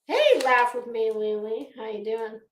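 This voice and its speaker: A woman hosting a casual livestream rant, blunt, mocking and profane, with halting, emphatic repetition as she chats to viewers. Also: fairly high pitch, animated, slight background noise. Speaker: livestream host. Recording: roomy, echoey sound.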